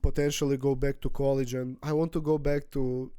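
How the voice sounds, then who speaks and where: A man in his twenties, not a native English speaker, talking through his feelings in a rambling, halting personal vlog with frequent restarts. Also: mid-range voice, level tone, warm tone, smooth, no echo, clean studio sound. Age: 20s. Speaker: vlogger. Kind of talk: casual personal vlog monologue.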